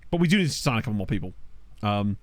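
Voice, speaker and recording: A chatty man talks his way through a football management game, wandering from thought to thought and chuckling at the players he finds. His pitch bounces around with lots of life. He sits close to a desk microphone in a dry room. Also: sing-song, rapid-fire, no room sound. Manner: chatty, rambling, laughs often, reacts aloud to players. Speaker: gaming streamer. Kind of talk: casual gameplay commentary.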